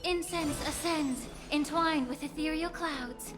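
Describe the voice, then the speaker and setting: A woman's voice: high voice, takes it slowly, over music. A woman playing a dreamy, playful young female mystic in a video game, speaking like an incantation.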